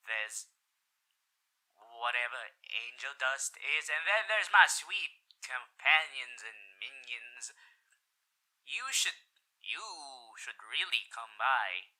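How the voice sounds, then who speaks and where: A man's voice: medium pitch, bright, forceful tone, heavily acted, rough recording. A man voicing a suave, flirtatious radio demon with a theatrical showman's delivery in a roleplay audio for the listener, moving between bold exclamations and intimate murmurs. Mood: cheerful showman. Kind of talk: character roleplay ASMR addressed to the listener.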